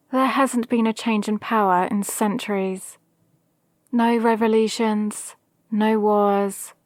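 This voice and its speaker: Villain mentor, female actor, ASMR roleplay: calculating, formal, gently menacing, unhurried, close dry sound. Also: medium pitch, no echo, lightly acted. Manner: measured, deliberate, formal. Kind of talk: scripted ASMR roleplay monologue.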